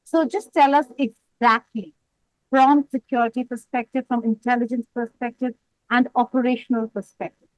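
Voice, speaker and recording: A woman working as a news anchor, putting interview questions in deliberate, emphatic short phrases. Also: on the high side, fairly even intonation, poor audio.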